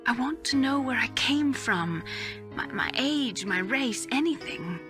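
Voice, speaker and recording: A woman playing a sorrowful, vengeful young scientist in a video game, speaking in a measured, wistful, confessional way. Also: high voice, lively intonation, music in the background. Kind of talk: dramatic video game voice acting.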